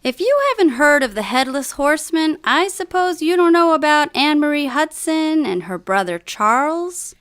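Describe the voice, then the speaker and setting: A woman's voice: high voice, lively intonation, moderate pace, few pauses, a bit bright. A woman playing a secretive river-town musician in scripted radio theatre. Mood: guarded, matter-of-fact. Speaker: radio theatre actor and singer. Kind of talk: scripted radio play dialogue.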